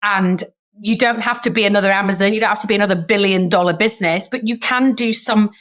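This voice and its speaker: A woman in her thirties with a Sheffield English accent, talking as a podcast guest. She tells stories in a chatty way and acts out the voices of people in them. Her pitch sits in the middle for a woman. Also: fast talker, fairly bright tone. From Sheffield, England. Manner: chatty storyteller who acts out remembered dialogue. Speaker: guest, startup founder. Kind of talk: podcast interview.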